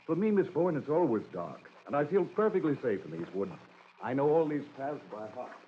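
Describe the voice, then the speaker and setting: An actor playing a gentle, courteous blind man in an old-time radio drama, polite and self-assured. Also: fairly high pitch, very animated, brisk. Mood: serene. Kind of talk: 1940s radio drama acting. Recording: muffled, noisy old radio recording.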